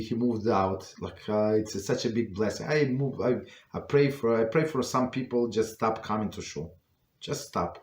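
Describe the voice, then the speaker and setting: Male rabbi, informal lecture, mid-pitched voice, halting delivery, repeated words, tag questions, roomy echo. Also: darkish tone. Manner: halting, repeats words, rhetorical "right?" tags. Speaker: rabbi teaching a class. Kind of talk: informal religious law lecture. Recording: echoey room.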